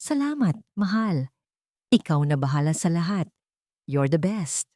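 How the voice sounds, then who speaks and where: A woman's voice: lowish voice, very animated, unhurried, dark tone, dry recording, clean studio sound. Woman reading as a breezy, oblivious husband. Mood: breezy, affectionate.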